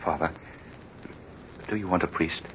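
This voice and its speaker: Deep, impassioned young husband. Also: male voice, fairly even intonation, unhurried, fairly bright tone. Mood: hushed concern. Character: earnest, grateful young husband and son-in-law. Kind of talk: 1930s radio drama acting. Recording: dull, noisy vintage radio recording.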